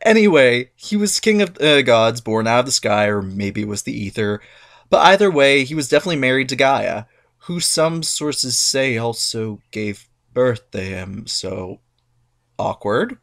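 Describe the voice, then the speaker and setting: Animated, sarcastic male storyteller. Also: mid-range voice, measured pace, creaky. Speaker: narrator. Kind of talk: comic dramatic reading.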